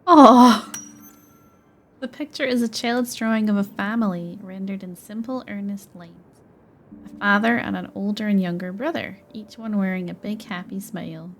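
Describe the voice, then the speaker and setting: Expressive young woman gamer, mid-pitched. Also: moderate pace, a little hiss or hum. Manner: expressive, casual reactions. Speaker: gaming streamer. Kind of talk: playthrough commentary, reading game text aloud.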